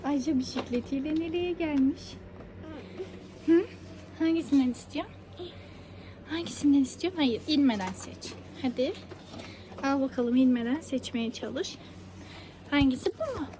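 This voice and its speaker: High-pitched woman coaxing a child, noisy background. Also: measured pace, long pauses, warm tone. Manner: coaxing, sing-song repetition and questions. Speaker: mother filming her young child. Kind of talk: casual child-directed chat. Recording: noisy location recording.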